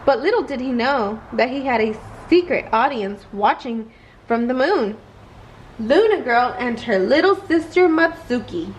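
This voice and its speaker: A woman reads a children's bedtime story with lots of expression. Her voice is high and very lively in pitch, recorded with clear noise in the background. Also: a little slow, rough recording. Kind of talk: expressive bedtime read-aloud.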